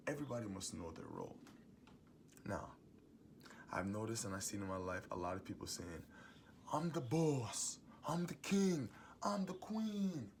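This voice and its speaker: A young adult man with a booming voice gives a preachy, emphatic motivational monologue as host of his own series. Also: gravelly, noisy background. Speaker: host giving advice monologue.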